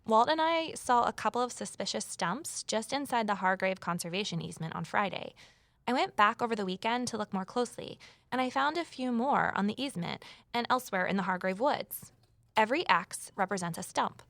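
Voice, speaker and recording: A woman's voice: medium pitch, lively intonation, a little fast, a bit bright, no echo. An actress playing a determined, curious woman investigator in a scripted mystery audio drama, with a wry, conversational manner. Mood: wry, friendly. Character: determined, curious ex-journalist woman, defiant amateur sleuth. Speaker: narrator and lead character.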